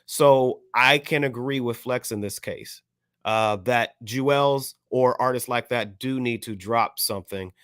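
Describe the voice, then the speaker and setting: A man's voice: medium pitch, measured pace, a bit bright, clean tone, dry recording, clean studio sound. A man on a podcast panel giving opinions in casual discussion, picking his words carefully with hesitant phrasing.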